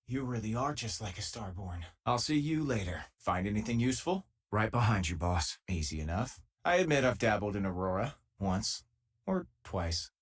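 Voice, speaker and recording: An actor playing an easygoing, wry spacefaring miner and loyal companion in a video game, with a husky voice and a casual, dry-humoured way of talking. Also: male voice, fairly low pitch, a bit bright, full character voice. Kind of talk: scripted game voice lines, natural conversational delivery.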